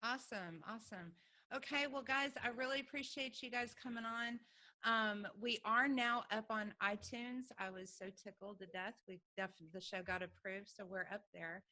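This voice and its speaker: Female podcast host, conversational interviewing, forward bright tone. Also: on the high side, steady tone, normal speed.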